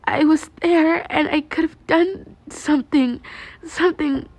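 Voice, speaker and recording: A woman voicing an anguished teenage girl in a story, breathy and choked with crying, pleading guiltily. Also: sits high, fairly even intonation, darkish tone, no echo, voice pushed up. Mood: guilty, distraught. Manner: drawn-out, tearful pleading.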